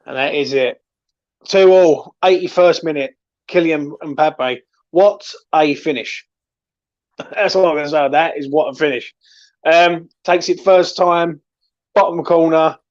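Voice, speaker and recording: A man's voice: fairly high pitch, a little slow, highs cut off. A man hosting casual football chat, emphatic and opinionated, repeating himself to stress his points. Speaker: host of a football discussion show.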